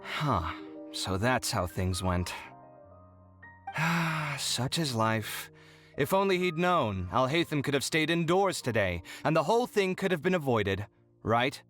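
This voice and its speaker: Theatrical, exasperated young scholar, lively pitch. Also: male voice, slow, faint music behind. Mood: weary sarcasm. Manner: theatrical, sarcastic. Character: fussy, dramatic young male scholar-architect, easily exasperated. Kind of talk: video game voice acting.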